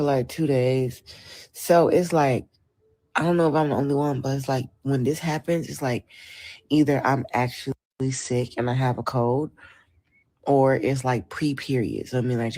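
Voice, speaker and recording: A woman's voice: extremely low pitch, dark tone. Congested woman complaining from bed. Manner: annoyed, complaining. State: congested, sick with a cold. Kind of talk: casual vlog. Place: lying in bed.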